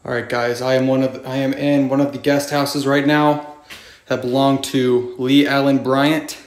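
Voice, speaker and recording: Excited man exploring abandoned places. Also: medium pitch, moderate pace. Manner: excited, keeps addressing viewers as "guys". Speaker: urban explorer vlogger. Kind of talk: walkthrough narration while exploring. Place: abandoned buildings and old vehicles, indoors and out.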